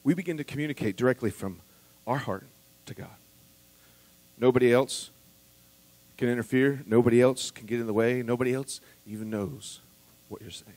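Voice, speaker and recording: A man preaching a sermon as pastor, earnest and conversational, rising to emphatic peaks and dropping quiet again, with restarts and asides to the congregation. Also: medium pitch, normal speed, dry recording.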